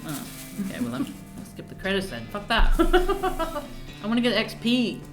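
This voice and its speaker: A woman's voice: on the high side, big pitch swings, normal speed, over music. A woman playing a game on stream, reading prompts aloud and chatting playfully. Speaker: co-player. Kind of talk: casual game chat. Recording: roomy echo.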